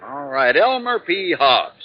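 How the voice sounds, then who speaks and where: An actor with an American accent playing an exasperated, dismissive insurance agent in a 1950s radio drama, grumbling and sardonic. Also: male voice, fairly high pitch, very animated, takes it slowly. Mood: matter-of-fact. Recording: dull vintage radio recording.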